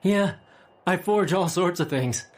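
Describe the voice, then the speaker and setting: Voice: medium pitch, a little slow, close to an everyday voice. An actor playing a shameless, philandering professor and breezy know-it-all forger in a comic audio drama, glib and pedantic.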